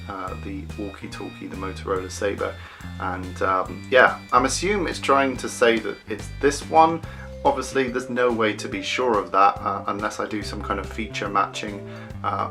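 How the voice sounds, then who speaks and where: A man giving a casual close-up unboxing commentary as a prop collector, thinking aloud with hesitant pauses. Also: fairly low pitch, gravelly, bright, forceful tone.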